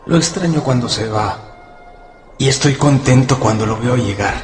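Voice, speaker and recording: A man's voice: mid-range voice, normal speed, natural voice. Echoing, anguished wolf's inner voice acted by a man. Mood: attached. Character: fearful devoted wolf, voicing its inner thoughts. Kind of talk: radio drama acting. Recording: echoing voice over clear music.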